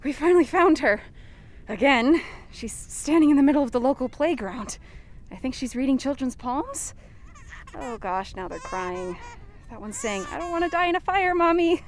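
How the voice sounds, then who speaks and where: A woman acting an anxious young investigator in an audio drama. Her voice sits fairly high, with a nervous, skeptical, exclamatory delivery. Faint noise runs behind her. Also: animated, normal speed. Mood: exasperated, alarmed.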